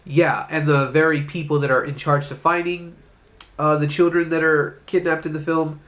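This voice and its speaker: A man's voice: fairly high pitch, flat delivery, normal speed, fairly bright tone. A man hosting his own movie review video, speaking with a congested, stuffed-up voice from being sick and coughing now and then, in a loose, rambling way that includes casual swearing.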